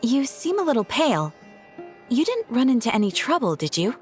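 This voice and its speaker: An actress playing a polite, attentive guild receptionist in a video game, with a courteous, professional manner. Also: female voice, a little high, big pitch swings, brisk. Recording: studio voice over game music. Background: background music.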